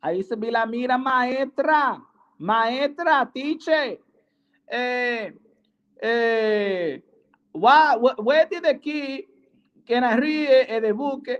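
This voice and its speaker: A man voicing a flustered, indignant car-crash victim, in broken, repetitive, excitable comic speech. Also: very high voice, takes it slowly, dull audio, pitched above the performer's own voice, heavily acted.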